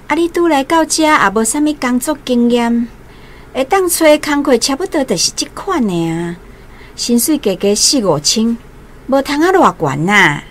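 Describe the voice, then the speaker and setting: A woman's voice: on the high side, very animated, normal speed, a little hiss or hum. An actress playing a kindly, fussing aunt in a radio drama, with a Taiwanese accent and a motherly, exclamatory manner. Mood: advising. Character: kindly, fussing young aunt housing her niece.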